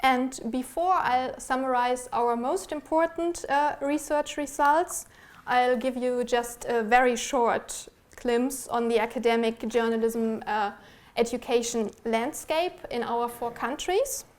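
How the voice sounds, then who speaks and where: Higher-pitched woman giving an academic presentation. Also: measured pace. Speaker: academic researcher presenting project results. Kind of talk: prepared academic presentation with slides.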